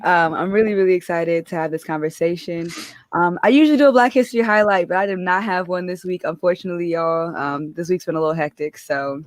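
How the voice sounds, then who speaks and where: A woman's voice: fairly low pitch, quick pace, no room sound. A woman hosting a podcast, talking in a chatty, upbeat way with many fillers over a remote call. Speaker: podcast host. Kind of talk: casual podcast chat.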